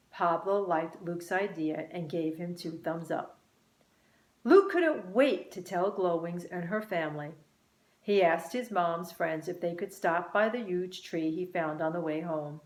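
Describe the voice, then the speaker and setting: Woman storyteller, slightly low voice, deliberate, expressive children's narration, roomy echo. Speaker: storybook reader. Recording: roomy, echoing sound.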